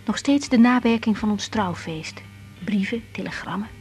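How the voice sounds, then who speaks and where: A woman's voice: mid-range voice, moderate pace, a little mellow, faint music behind, lightly acted. An actress playing a wry, guarded young newlywed woman doctor in a radio drama. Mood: reflective. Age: young adult. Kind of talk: 1980s Dutch radio drama acting.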